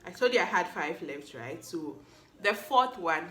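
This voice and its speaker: Bright-voiced woman in her twenties. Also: fairly low pitch, animated, a little slow. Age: 20s. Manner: playful, chatty, teasing the listener. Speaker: podcast host. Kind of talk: solo podcast recap. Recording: echoey room.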